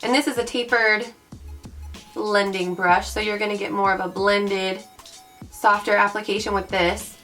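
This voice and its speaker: A young woman with a raspy voice from recent illness, chatting bubbly through a makeup tutorial and calling her viewers guys. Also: medium pitch, fairly even intonation, normal speed. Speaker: beauty vlogger. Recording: mic at a distance, soft background music.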